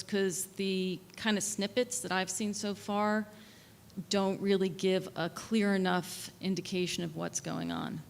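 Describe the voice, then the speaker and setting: A soft-spoken woman, a local resident and architect, giving earnest public testimony at a hearing, explaining with technical terms and many fillers. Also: mid-range voice. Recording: echoey hall, faint noise.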